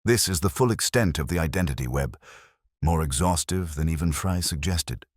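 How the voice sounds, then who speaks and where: A man voicing a grave, incisive detective in an audiobook, speaking precisely and deliberately. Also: rumbling low, dry recording, studio quality.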